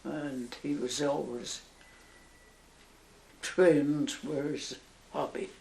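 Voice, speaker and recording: A woman over a hundred years old with a Northern Irish accent, a nun slowly recalling her life in an oral history interview, halting and with soft laughs. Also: low voice, fairly even intonation, vocal fry, reverberant. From Northern Ireland. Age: over 100.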